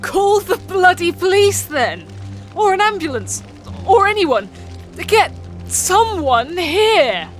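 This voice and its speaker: Man acting teen boy, very high voice, swooping intonation, frantic, blunt, sweary, audio drama. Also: sing-song, takes it slowly, fairly bright tone, soft background music, heavily acted. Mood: frustrated. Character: panicked, sweary British teenage boy searching for sister.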